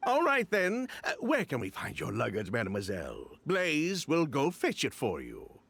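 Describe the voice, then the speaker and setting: An actor playing a smooth, scheming gentleman in a video game, with an ingratiating, theatrical manner. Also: mid-range voice, wildly swooping pitch, normal speed, slight background noise, exaggerated voice.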